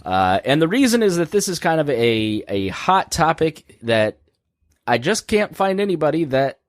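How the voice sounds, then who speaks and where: Hoarse rambling male podcast host. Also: a little high, very animated, moderate pace, dry recording, studio quality. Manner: rambling, jokey, many fillers. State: hoarse from laryngitis. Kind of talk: casual live-stream chat.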